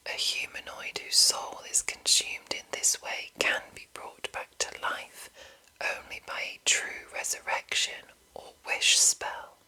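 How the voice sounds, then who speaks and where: A woman whispering in a breathy, unvoiced ASMR style, reading deliberately with pauses between short phrases. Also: bright, forceful tone, low-quality recording. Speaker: ASMR host. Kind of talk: whispered ASMR reading of fantasy game rules.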